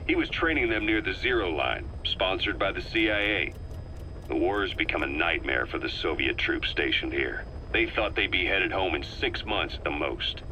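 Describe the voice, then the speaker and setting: An actor playing a grave, knowing soldier who gives a measured, deliberate briefing in a scripted video game. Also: male voice, deep voice, big pitch swings, sharp tone, heavily acted. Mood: grave, explanatory. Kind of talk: scripted dramatic briefing narration. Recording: dull cassette tape with faint noise.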